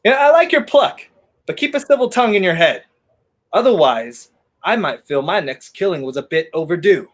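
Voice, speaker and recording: Man playing a high-pitched, animated sardonic fugitive. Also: normal speed. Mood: sardonic menace. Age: young adult. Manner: sardonic, commanding. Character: brash wrongly accused man on the run, sardonic, urgent. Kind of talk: 1930s radio play acting.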